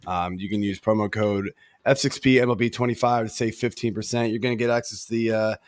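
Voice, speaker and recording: A man hosts a casual fantasy baseball chat with a voice worn down from losing it. He speaks in a lowish register, easygoing and rambling, full of fillers. The sound is close and dry. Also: steady tone, quick pace, dry recording. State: losing his voice. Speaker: podcast host.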